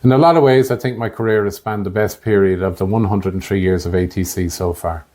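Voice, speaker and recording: Low-voiced Irish man narrating. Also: steady tone, brisk, darkish tone. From Ireland. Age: late 50s. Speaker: podcast host, air traffic controller. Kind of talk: scripted narration.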